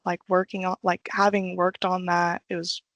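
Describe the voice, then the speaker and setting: A woman's voice: fairly low pitch, level tone, moderate pace, no echo, clean studio sound. A young woman from Illinois, an intern, chatting casually as a guest and peppering her talk with "like". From Illinois, United States. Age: young adult. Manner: informal, frequent "like". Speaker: engineering intern, podcast guest. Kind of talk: casual chat.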